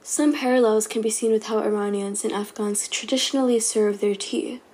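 Female narrator, warm and mellow, measured scripted read. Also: mid-range voice, steady tone. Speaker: podcast narrator.

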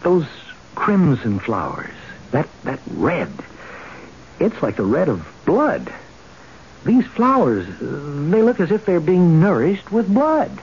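An actor playing a nervous, awestruck neighbour who stammers and halts, in an old radio drama. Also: male voice, a little high, wildly swooping pitch, darkish tone, full character voice. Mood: awed, uneasy. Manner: halting, stammering. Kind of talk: 1970s radio drama acting. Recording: dull, hissy old radio recording.

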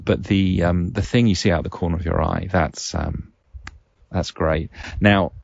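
Male podcast host, very low register with creaky fry, hesitant and reflective, slightly dull home recording. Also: fairly even intonation, slight background noise. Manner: hesitant, thinking aloud in halting phrases. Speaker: podcast host and game master. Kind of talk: reflective solo podcast monologue, casual.

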